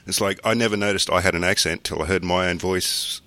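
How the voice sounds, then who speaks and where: Casting note: Australian man, very low and bright, laid-back podcast co-host. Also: fairly even intonation, a little fast, no room sound. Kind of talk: casual chat.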